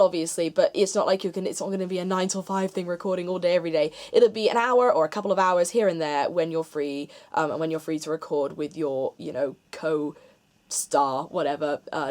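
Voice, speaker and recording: Young woman chatting to camera in her room, lowish voice, explaining stuff in a loose rambly way. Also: darkish tone. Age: 20s. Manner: informal, rambling, self-correcting explanation. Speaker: video creator announcing voice acting auditions. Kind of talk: casual explanatory talk to camera. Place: small domestic room, indoors.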